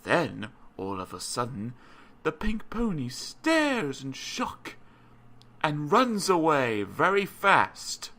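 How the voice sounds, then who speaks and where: Animated male children's storybook narrator. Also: on the high side, slow pace. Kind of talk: scripted children's story narration, parody of preschool shows.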